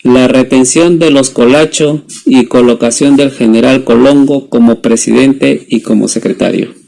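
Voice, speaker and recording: A man's voice: medium pitch, fairly even intonation, moderate pace, creaky, mellow. A young man, a student presenter, reading a scripted story summary as narrator. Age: young adult.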